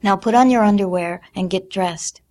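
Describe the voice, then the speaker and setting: A woman's voice: mid-range voice, normal speed, darkish tone, close to an everyday voice. A woman voicing a blunt, harsh middle-aged mother of twelve who snaps and scolds in coarse speech. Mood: curt.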